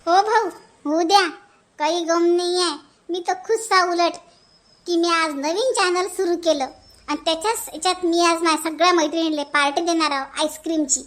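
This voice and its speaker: A man's voice: very high-pitched, steady tone, normal speed, a bit bright. A man voicing a cheerful middle-aged village woman in a cartoon, chatty and folksy with a Varhadi Marathi accent. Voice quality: folksy, chatty, adds "हं?" tag questions. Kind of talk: cartoon voice acting.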